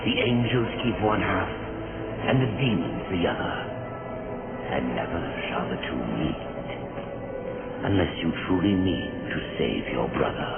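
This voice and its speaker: An actor playing a knowing guide from a city of the dead in a video game, theatrical and wry. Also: male voice, low-pitched, creaky, narrow, tinny audio, exaggerated voice. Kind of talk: dramatic video game cutscene acting.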